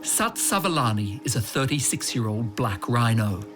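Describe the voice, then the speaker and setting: Brief: male reporter, mid-pitched voice, earnest measured narration, music bed underneath. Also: big pitch swings. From Australia. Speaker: foreign correspondent. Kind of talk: scripted documentary narration.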